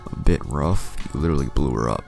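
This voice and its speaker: A man narrating a blunt old-world fox spirit in a fantasy story. The voice is extremely low and creaky, delivering wry reproaches matter-of-factly. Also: expressive, a little fast, music in the background, full character voice. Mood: chiding.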